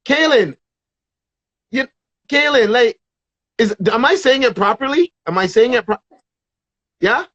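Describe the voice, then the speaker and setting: Male host, higher voice, eager and rambling, dry muffled recording. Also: lively intonation, unhurried, long pauses, fairly bright tone, dry recording. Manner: enthusiastic, rambling questions. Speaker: show host. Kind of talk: casual interview. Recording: dull dry sound.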